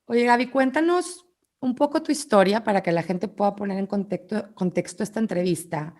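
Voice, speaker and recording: A woman's voice: mid-range voice, moderate pace, darkish tone. A young woman with a Mexican accent hosting an interview, eager and enthusiastic as she introduces and questions her guest. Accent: Mexican Spanish. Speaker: host.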